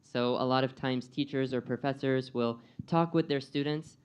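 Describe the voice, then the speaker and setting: A man's voice: medium pitch, fairly even intonation, moderate pace, smooth. A young American man giving a live presentation, engaging the audience with questions in a friendly way. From United States. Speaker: guest speaker and program coordinator.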